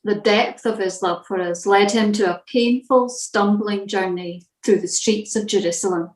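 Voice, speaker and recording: A woman reading a scripted church devotional in a measured, reflective manner. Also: medium pitch, fairly even intonation, roomy sound, rough recording.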